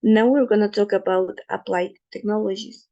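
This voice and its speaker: Gravelly-voiced woman presenting slides. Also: mid-range voice, steady tone, mellow, highs cut off. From Ecuador. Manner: careful, slightly halting non-native English with fillers. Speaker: student presenter.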